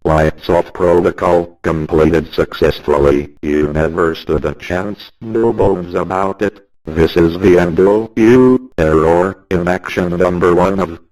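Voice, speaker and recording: Deep sinister robot skeleton played by a man. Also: takes it slowly, darkish tone, poor audio. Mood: cold, menacing. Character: sinister glitchy robotic endoskeleton menace. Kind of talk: video game character voice lines.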